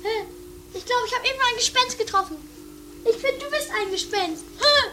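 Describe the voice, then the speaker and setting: A woman's voice: very high voice, moderate pace, over music. A woman playing a lazy, scatterbrained sister in a trashy comedy radio play, dithering and exaggerated, on homemade tape.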